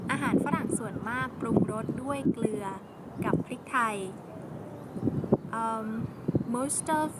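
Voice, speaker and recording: A woman from Thailand teaches Thai vocabulary and explains it in English in a relaxed, chatty way, with casual fillers. Her voice sits at an ordinary pitch for a woman. Heavy vehicle rumble runs loudly behind her.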